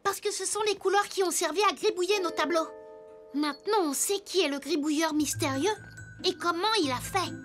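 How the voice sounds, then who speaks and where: A voice actor playing an earnest, inquisitive little wooden toy boy detective in a children's cartoon, articulating questions clearly. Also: extremely high pitch, a little fast, a bit bright, soft background music. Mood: serious, investigating.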